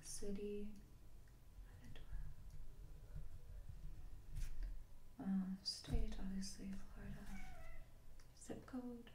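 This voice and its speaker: A woman playing a grumpy, blunt HR employee in a soft-spoken ASMR roleplay, deadpan and offhand, with hesitant, grumbling phrasing. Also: mid-range voice, slow, roomy sound, distant mic, background noise, close to an everyday voice. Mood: bored, businesslike. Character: grumpy, blunt female HR employee doing new-hire paperwork.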